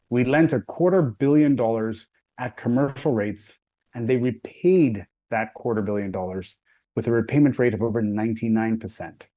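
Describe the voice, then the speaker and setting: A man with a slightly low voice gives a rehearsed panel speech. He lands his points in short, emphatic phrases and builds each one up rhetorically. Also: darkish tone. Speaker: panelist, head of an agriculture company. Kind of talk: prepared speech.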